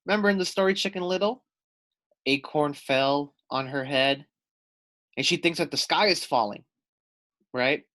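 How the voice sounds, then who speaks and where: A man's voice: on the high side, moderate pace, long pauses, sharp tone. A man reading a storybook aloud like a teacher, expressively, talking directly to young listeners. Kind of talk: storybook read-aloud with questions for children.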